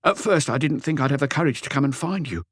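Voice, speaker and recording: A man voicing an anguished, lonely, meek husband in an audiobook, pleading and rambling haltingly through a confession. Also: medium pitch, lively intonation, husky, dry recording, studio quality, pitched above the performer's own voice. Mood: rambling, apologetic. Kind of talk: audiobook character dialogue.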